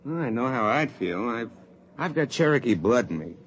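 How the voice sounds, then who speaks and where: A man's voice: medium pitch, sing-song, moderate pace, muffled recording, lightly acted. An actor playing a plainspoken, folksy spaceman in a 1950s radio drama. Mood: earnest. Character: easygoing male spaceman of Cherokee descent, plainspoken crewmate. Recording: faintly noisy vintage 1950s radio recording.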